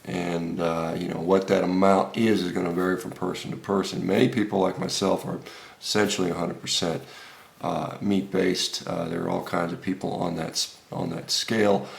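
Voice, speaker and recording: American male vlogger, fifties, low-pitched with heavy fry, halting unscripted commentary. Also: level tone. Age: 50s. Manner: halting, with frequent hesitations and repeated words. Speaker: health vlogger. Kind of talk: informal unscripted video commentary. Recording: roomy, echoey room sound.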